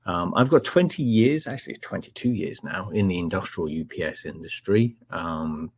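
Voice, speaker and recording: A middle-aged Scottish man presenting a live technical webinar in a relaxed, chatty way, with frequent ums and uhs. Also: low-pitched, moderate pace, breathy edge, no room sound. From Scotland.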